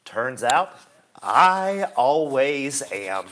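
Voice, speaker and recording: Here is a haughty, sneering critic for a radio play. He takes his time over every condescending phrase, and his pitch swoops grandly with self-importance. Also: sing-song, a bit bright, full character voice. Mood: smug. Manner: haughty, condescending, slow and deliberate insults. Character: pompous, sneering, feared male theatre critic.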